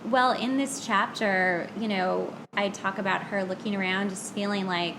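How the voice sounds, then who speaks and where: Woman podcast host, candid n self-deprecating, chatty, mid pitch, noisy background. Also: fairly even intonation, normal speed, fairly bright tone. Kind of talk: casual chat. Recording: clear background noise.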